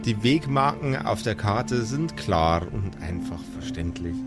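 A man narrating an improvised fantasy tabletop story as game master, in a measured, atmospheric way. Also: lowish voice, lively intonation, over loud music.

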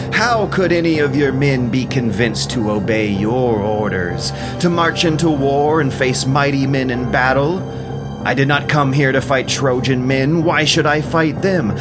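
A man's voice: medium pitch, natural voice. A man playing a proud young Greek warrior in an epic audio drama, impassioned, declamatory and scornful.

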